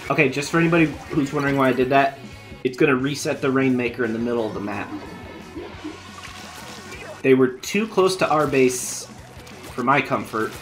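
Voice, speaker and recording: A man live-streaming a video game, chatting casually with viewers while he plays, his voice going hoarse from a long session. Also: medium pitch, normal speed, pauses often, a bit bright, soft background music. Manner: chatty, thinking aloud while playing. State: losing his voice.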